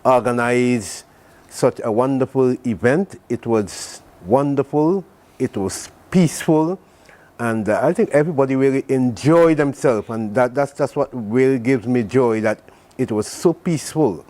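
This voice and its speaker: An older man speaks on a morning TV show. He is earnest and grateful and circles back over his thanks. His voice sits at an ordinary middle pitch. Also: measured pace, dark tone. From Saint Vincent. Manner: earnest, thankful, repetitive phrasing. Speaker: president of a community association, guest. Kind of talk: morning TV interview.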